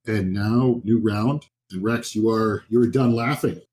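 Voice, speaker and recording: A man running a horror tabletop game, describing scenes informally and haltingly as game master. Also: fairly low pitch, darkish tone, slight background noise.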